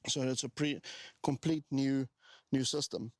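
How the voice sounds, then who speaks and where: A man's voice: medium pitch, fairly even intonation, unhurried, dry recording. A man in his forties with a South African accent, talking casually to camera as he walks through his own motorbike build. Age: 40s. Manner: chatty, with frequent ums and restarts. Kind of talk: casual walkaround talk to camera.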